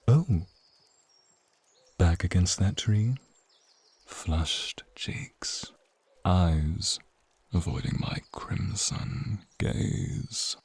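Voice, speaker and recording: A man voicing a flirtatious, teasing male naga in an ASMR roleplay, speaking in a seductive, breathy, drawn-out way to the listener. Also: on the low side, a little mellow, heavily acted. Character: flirtatious, teasing male naga, crimson-eyed protective companion. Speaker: voice actor.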